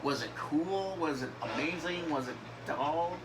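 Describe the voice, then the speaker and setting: A man's voice: fairly high pitch, animated, unhurried. A young man from the northern United States talking casually over gameplay, rambling with many fillers and restarts. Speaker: gaming YouTuber. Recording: game engine noise behind.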